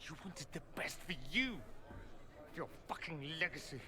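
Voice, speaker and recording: A man's voice: fairly high pitch, lively intonation, moderate pace, lightly acted. Man acting proud, sardonic wounded mage son. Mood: angry, hurt. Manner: theatrical, biting sarcasm. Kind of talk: video game voice acting. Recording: chatter of a crowd behind.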